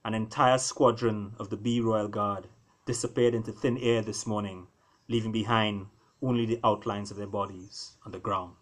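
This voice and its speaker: A man voicing a dutiful lion prince in a storybook, a serious, tender husband who speaks in short, sober, measured sentences. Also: lowish voice, steady tone, voice pushed down, lightly acted. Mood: grave, hushed.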